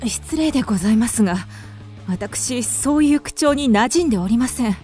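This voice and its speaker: A woman plays a proud widow in a radio drama. Her voice is somewhat high, with refined, formal diction and a haughty, easily offended air. Faint music plays behind her. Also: lively intonation, moderate pace, darkish tone, close to an everyday voice. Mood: indignant. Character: proud, grieving young widow, haughty and indignant.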